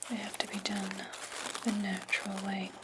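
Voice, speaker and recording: A woman playing a stern, imperious witch in a slow, breathy whispered ASMR roleplay, speaking deliberately close to the microphone. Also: mid-range voice, completely flat delivery, heavy background noise, lightly acted. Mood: stern, instructive. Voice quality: breathy, mostly unvoiced whisper. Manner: deliberate, imperious, menacing, drawn-out phrasing. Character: stern, commanding witch demanding servant's obedience.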